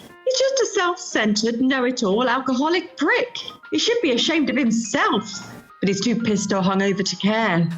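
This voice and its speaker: A woman's voice: fairly high pitch, big pitch swings, moderate pace, highs cut off. A woman playing a blunt, informal, loyal best friend in a radio play, recorded over a video call. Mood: scornful. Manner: informal, no-nonsense. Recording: amateur video-call recording with music behind.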